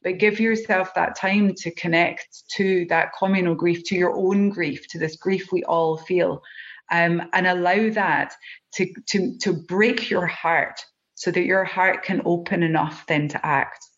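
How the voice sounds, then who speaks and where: A woman's voice: on the low side, fairly even intonation, measured pace. Scottish woman guest, earnest and heartfelt, lo-fi sound. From Scotland. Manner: earnest, heartfelt, many ums and restarts. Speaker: project organiser guest. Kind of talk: podcast conversation.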